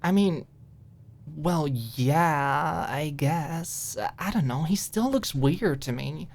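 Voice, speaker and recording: A woman's voice: sits low, lively intonation, slow, darkish tone, heavily acted. A woman voicing a snarky young woman in an audiobook romance, blunt and sarcastic, in a ranting conversational manner. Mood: grudging, disdainful.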